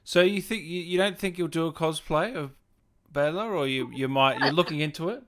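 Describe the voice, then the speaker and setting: A man's voice: a little high, brisk, fairly bright tone. A man hosting a casual livestream interview, conversational and informal as he reads out questions and chats. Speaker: interview host.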